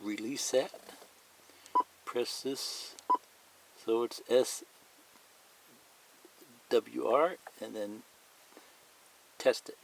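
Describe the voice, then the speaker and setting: A man talks slowly through a practical tutorial in a medium-pitched voice. He is plain-spoken, repeating himself and pointing out each step as he goes. Light noise sits behind him, as in a home video. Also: gravelly, rough recording. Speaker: hobbyist instructor. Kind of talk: step-by-step hands-on tutorial demonstration, partly reading a manual aloud.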